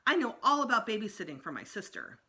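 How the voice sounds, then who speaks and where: A woman's voice: medium pitch, wildly swooping pitch, fast, sharp tone. A woman voicing a bossy, eager fourth-grade girl in a storybook, insistent and sing-song.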